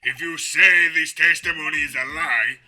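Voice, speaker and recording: A man's voice: a little high, moderate pace, sharp tone, a little hiss or hum. A man voicing an imperious, impatient prosecutor with a pompous, formal delivery in an amateur game dub. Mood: commanding. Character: imperious, arrogant male prosecutor, theatrical and impatient. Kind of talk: amateur video game fandub acting.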